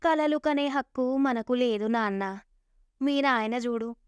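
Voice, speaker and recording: A woman's voice: a little high, a little mellow, smooth, dry recording, clean studio sound, lightly acted. A woman voicing a poor, weary village mother in a moral tale, chiding and pleading.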